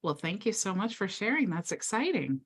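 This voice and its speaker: A woman's voice: medium pitch, sing-song, normal speed, slightly rough. A woman hosting a live Q&A video call, chatty and upbeat with lots of filler words. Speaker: mastermind call host.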